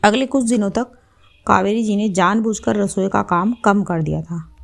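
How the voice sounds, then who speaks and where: A woman's voice: mid-range voice, moderate pace, gravelly. A woman storyteller reading a scripted story as its narrator.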